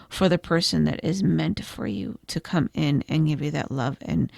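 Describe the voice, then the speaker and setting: A woman losing her voice gives a gentle, reflective tarot reading, with frequent "I feel like" and "kind of". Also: low voice, vocal fry, dry recording, studio quality. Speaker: tarot reader. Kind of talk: pick-a-card tarot reading.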